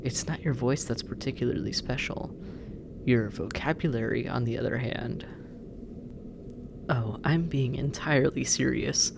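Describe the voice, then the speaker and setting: A performer in a roleplay audio drama voicing a sardonic, teasing demon captor who drawls and murmurs with heavy pauses. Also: mid-range voice, vocal fry, noisy background. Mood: amused, teasing. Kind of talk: scripted roleplay audio drama, intimate second-person address.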